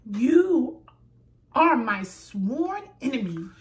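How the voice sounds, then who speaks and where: A woman's voice: fairly high pitch, big pitch swings, very slow, recorded from a distance, voice pushed up, exaggerated voice. A woman voicing a cross, sulky female rabbit who cries out indignantly in a storybook. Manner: crying out, indignant.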